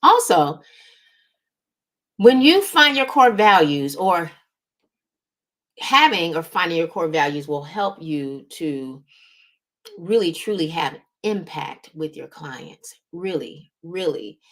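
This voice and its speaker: A woman with a low-pitched voice gives motivational business coaching. She speaks emphatically, repeating key words to drive a point home and frequently tagging her sentences with a quick check-in to the listener. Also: animated, fairly bright tone. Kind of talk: motivational business advice talk.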